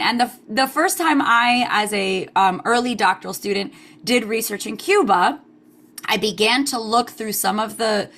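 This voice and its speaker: A woman's voice: mid-range voice, a bit bright. A woman historian from Queens, answering interview questions thoughtfully with many "um" fillers and the odd Spanish phrase. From United States (Queens, New York), Cuban parents. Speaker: historian, guest author.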